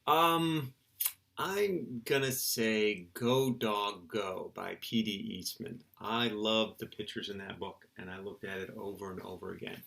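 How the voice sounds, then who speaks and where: A man's voice: on the low side, measured pace, creaky, a bit bright. A middle-aged man, a children's book author, giving casual, playful interview answers in simple terms for young listeners.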